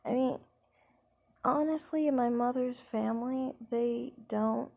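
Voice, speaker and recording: A young woman vlogging about her singing in a slightly high voice, rambling and hesitant with lots of fillers. She talks at an unhurried, slow pace. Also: creaky, a little mellow. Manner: speaks slowly. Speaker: singer talking about her voice type. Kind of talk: casual vlog chat.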